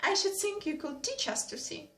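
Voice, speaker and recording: A lively, teasing young noblewoman, as a woman reader puts her on, exclaiming in a high bright voice with roomy echo. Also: normal speed, faint music behind, exaggerated voice.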